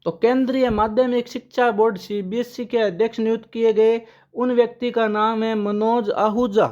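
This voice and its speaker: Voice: medium pitch, fairly even intonation, normal speed, darkish tone, dull audio. A current affairs teacher giving an exam-prep lesson with memory tricks, who asks questions aloud, answers them and repeats the key names.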